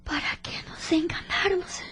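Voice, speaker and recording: A woman's voice: measured pace, natural voice. A woman playing a frail, grateful dying patient in an audio drama, speaking in a weak, faltering, emotional whisper. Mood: tender resignation.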